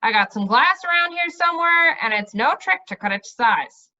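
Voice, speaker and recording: A cheeky storybook businessman, put on by a woman reader, pitched high and swinging widely as he protests. His talk is breezy, colloquial and exclamatory. The recording is slightly dull and lo-fi. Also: expressive, moderate pace, bright, forceful tone, exaggerated voice. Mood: helpful. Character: cheeky indignant male trader and businessman.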